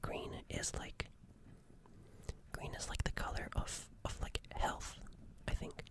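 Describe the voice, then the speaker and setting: A woman voicing a gentle, flustered alien nurse in an ASMR roleplay, speaking soothingly and hesitantly and softly repeating her phrases. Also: slow, close to an everyday voice.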